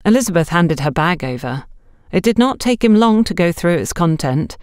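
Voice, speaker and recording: A woman's voice: fairly low pitch, lively intonation, moderate pace, darkish tone, clean tone, dry recording. Woman narrating a story, dry close sound. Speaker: audiobook narrator. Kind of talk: scripted mystery story narration.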